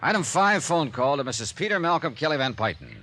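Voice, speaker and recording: An actor playing a brisk, wry male insurance investigator in 1950s radio detective style, clipped and emphatic. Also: a little high, sing-song, fairly bright tone, heavily acted. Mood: wry, businesslike. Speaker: lead actor and narrator of a detective radio serial. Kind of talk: 1950s radio acting, first-person detective narration and dialogue. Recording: old radio recording, music behind.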